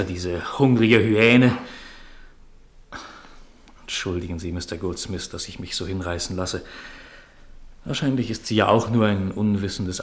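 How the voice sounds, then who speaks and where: A man's voice: low voice, close to an everyday voice. A man in a German radio play acting a bitter, indignant husband who gives his account in a formal, scornful manner.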